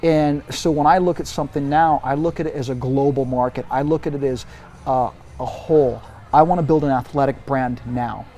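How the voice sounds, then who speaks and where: A man in his forties giving casual interview answers, emphatic and animated, often restarting his sentences. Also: mid-range voice, brisk. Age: 40s. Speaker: interview guest, brand executive.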